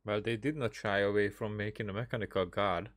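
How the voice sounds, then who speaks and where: A man's voice: lowish voice, steady tone, a little fast. A man giving casual live commentary as a game streamer, reading dialogue aloud with hesitant, musing asides.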